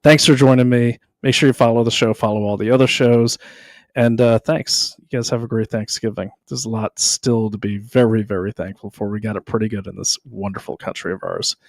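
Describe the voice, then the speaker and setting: A tired male host rambles through his sign-off to viewers. His voice is low-pitched and sounds dry, with no room around it. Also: fairly even intonation, brisk, no room sound. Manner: rambling, conversational. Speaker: show host.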